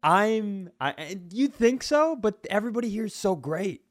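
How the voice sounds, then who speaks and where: A man's voice: sits high, sing-song, moderate pace, no room sound, studio quality. A man co-hosting a podcast, telling casual comic stories in a wry, rambling way. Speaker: podcast co-host. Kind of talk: casual comedic storytelling.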